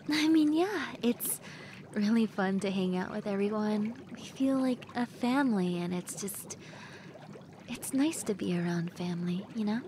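A woman acts out a friendly young woman relaxing with her crush in an audio roleplay. She speaks slowly at a medium pitch, intimate and gently teasing. Hot tub water runs clearly behind her voice. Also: lightly acted. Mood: reflective, content. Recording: steady running water noise behind voice. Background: bubbling hot tub water sounds.

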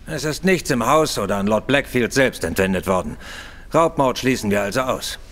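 A man's voice: medium pitch, expressive, moderate pace, a bit bright. An actor playing a self-assured male police inspector in a German audio drama, officious, curt and grudging. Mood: brusque, self-assured. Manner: officious, brusque.

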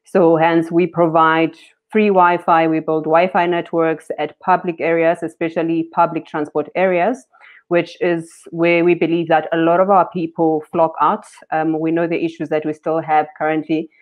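A woman, a company founder, explaining her work on a panel over a video call, steady with frequent ums. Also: deep voice, moderate pace. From South Africa. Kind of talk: panel discussion talk. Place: indoors, home room with curtains. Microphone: webcam on video call. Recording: dull lo-fi video call audio.

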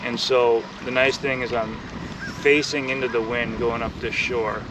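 A young man talking through his fishing technique while he sits in a kayak out on a lake. He explains in a relaxed, easygoing way, his voice at a middle pitch. It is picked up by an action camera a little way off, so his voice sounds distant, and there is clear wind and water noise behind him. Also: moderate pace. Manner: relaxed explaining, bursts of excited shouting when fish bite. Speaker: fishing host. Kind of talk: casual on-the-water instruction. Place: kayak on a windy lake outdoors.

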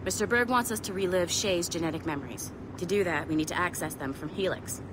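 A woman's voice: fairly low pitch, fast talker, background noise, natural voice. An actress playing a blunt, wry female security contractor in a video game cutscene. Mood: brisk. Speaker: game character.

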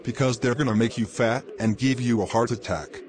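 A man playing a fed-up male crocodile waiter in a homemade skit, blunt and deadpan. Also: mid-range voice, fairly quick, lightly acted.

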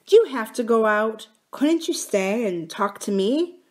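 A woman voicing a sweetly pleading, manipulative young woman in an audiobook, with soft, drawn-out phrases. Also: fairly high pitch, expressive, voice pushed up. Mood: sweet gratitude. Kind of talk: audiobook character dialogue.

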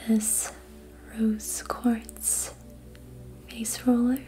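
A woman whispering softly and breathily in a gentle, soothing ASMR style, guiding a facial treatment in short phrases. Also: on the high side, little pitch movement, drawn-out words, soft background music. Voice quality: soft, breathy unvoiced whisper. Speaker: host, reiki and facial massage practitioner. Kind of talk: ASMR, slow guided narration of a treatment.

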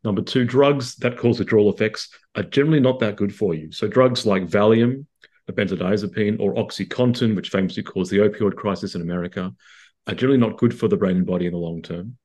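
A man's voice: fairly low pitch, fast talker, darkish tone. A man in his thirties with an Australian accent, a psychiatrist answering interview questions over a video call, articulate and explanatory with frequent restarts. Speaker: psychiatrist guest. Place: home room indoors.

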